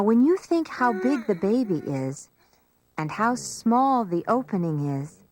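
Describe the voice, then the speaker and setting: A woman's voice: medium pitch, a little mellow. A woman narrating a scripted educational story for young children in a simple, explanatory way.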